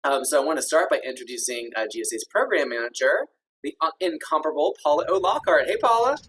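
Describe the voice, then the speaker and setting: A man in his thirties with a somewhat husky voice hosts a virtual info session over a video call. He sounds upbeat and friendly, with plenty of ums and uhs. He is a little distant from the mic. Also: on the high side, animated, quick pace, a bit bright. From Kentucky. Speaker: executive director hosting an info session.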